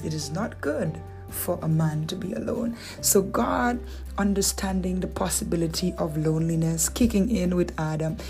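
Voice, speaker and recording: A woman's voice: on the low side, darkish tone. Woman with a Dominican accent teaching scripture. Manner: conversational, asks rhetorical questions, playful asides. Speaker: Bible teacher. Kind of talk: relaxed devotional teaching.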